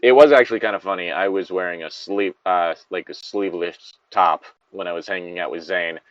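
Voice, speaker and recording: A man's voice: low voice, fairly quick, dry recording, highs cut off. A man in casual banter as a show co-host, rambling, swearing and correcting himself as he goes. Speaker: co-host of a story-reading show.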